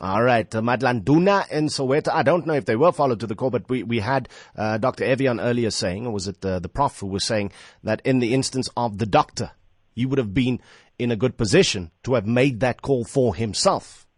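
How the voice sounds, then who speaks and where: A South African man presents a casual phone-in show from a dry, close studio. His voice sits at a medium pitch, and he chats with listeners in playful, animated slang. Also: brisk, dry recording. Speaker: radio talk show host. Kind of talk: casual live phone-in radio chat. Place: radio studio.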